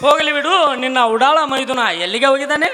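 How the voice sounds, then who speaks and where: Man acting high-pitched, declamatory stage husband. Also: fast talker, over music. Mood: tender, insistent. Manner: declamatory, repeats phrase endings emphatically. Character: loving, earnest, cautious poor village husband. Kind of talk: melodramatic Kannada stage drama acting. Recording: loud musical instrument over the voice.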